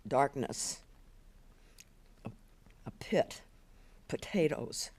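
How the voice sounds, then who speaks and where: A guarded old grandmother answers in low, quiet, clipped words, as a woman reader puts her on. She keeps her phrases short and gives little away. It is read as a scene in an audiobook. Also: expressive, drawn-out words, slightly rough, heavily acted. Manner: low, terse, withdrawn.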